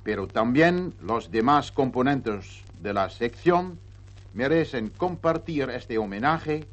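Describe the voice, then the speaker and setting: A man with a Dutch accent, Spanish not his first language, giving a measured, ceremonious prepared speech as a radio program director. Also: mid-range voice.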